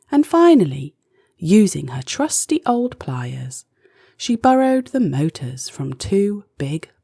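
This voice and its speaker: A woman narrating a rhyming children's storybook expressively, with deliberate, dramatic phrasing. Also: deep voice, warm tone, husky, no echo, studio quality.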